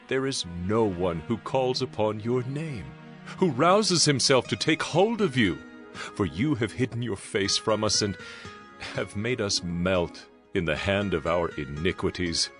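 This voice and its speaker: A man's voice: lowish voice, a bit bright. An actor playing a solemn man pleading with God in a dramatized Bible reading. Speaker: scripture narrator. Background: music.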